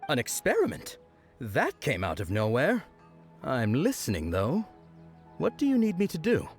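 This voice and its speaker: A man playing an earnest, bashful young festival worker in a video game, with a hesitant, expressive delivery. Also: medium pitch, moderate pace. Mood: friendly, nervous. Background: music.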